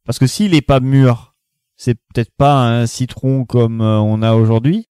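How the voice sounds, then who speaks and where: A man's voice: mid-range voice, unhurried, dark tone, smooth, no room sound, clean studio sound. A man guesting on a casual podcast quiz, thinking aloud hesitantly with many restarts. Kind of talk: casual chat.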